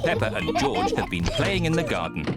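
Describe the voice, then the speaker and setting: A man narrating a children's cartoon in a calm, matter-of-fact storybook manner. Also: fairly low pitch, normal speed. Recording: studio voice-over with music behind.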